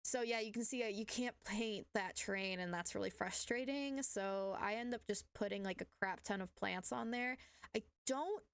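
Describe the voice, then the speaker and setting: A young woman, hoarse from being sick, chatting casually and rambling with many fillers while narrating her building game. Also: mid-range voice, normal speed, dry recording. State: hoarse from illness. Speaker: gaming channel host. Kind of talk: casual voice-over commentary on gameplay.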